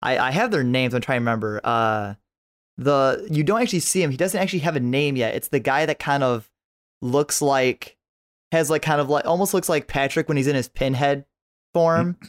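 A man's voice: mid-range voice, expressive, normal speed. A man in a casual podcast chat, talking as an enthusiastic fan and often repeating himself as he goes. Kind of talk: casual chat about an anime.

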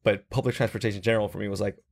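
Man from Guatemala, low voice, casual chat, reflective, lots of fillers. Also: steady tone, rapid-fire, vocal fry, darkish tone. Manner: reflective, many fillers and restarts. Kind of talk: casual group conversation.

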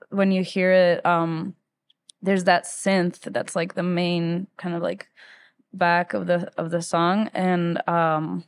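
A woman's voice: on the low side, smooth. Chatty young woman from Ecuador. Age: late 20s to 30s. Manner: informal, peppers speech with "like". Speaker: musician, interview guest. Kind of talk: casual conversational storytelling.

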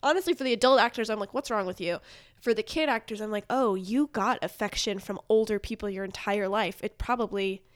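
A woman's voice: medium pitch, a little fast. Woman in casual pop culture chat. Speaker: podcast guest.

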